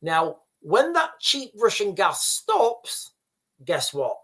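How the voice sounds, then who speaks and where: A man's voice: high voice, huge pitch swings, takes it slowly, a bit bright. Rambling man livestreaming. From Yorkshire, England. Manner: rambling, repeats and restarts phrases, often addresses viewers as "guys". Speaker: livestream host reading viewer comments. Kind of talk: casual livestream commentary.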